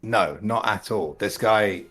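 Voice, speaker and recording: British male co-host, deep voice, dry wit, casual chat. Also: slow pace, over music. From Britain. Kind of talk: casual live stream chat.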